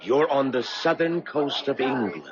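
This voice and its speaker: An actor in a 1970s radio drama playing a sinister, mocking agent of fate who speaks in a formal, deliberate, sardonic way. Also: male voice, mid-range voice, sing-song, a crowd in the background. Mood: mocking relish. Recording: dull vintage radio broadcast recording.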